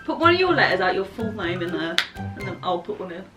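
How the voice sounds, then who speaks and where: A woman's voice: on the low side, sing-song, quick pace. A young woman hosting a vlog in casual chat, with a playful, giggly way of talking. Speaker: vlog host. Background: music.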